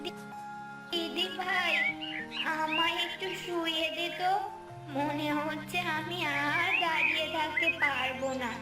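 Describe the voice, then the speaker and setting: A woman voicing a loving, ailing old grandmother in a cartoon fairy tale, halting and weary. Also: extremely high pitch, steady tone. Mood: weary, tender. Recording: roomy, distant, loud music bed.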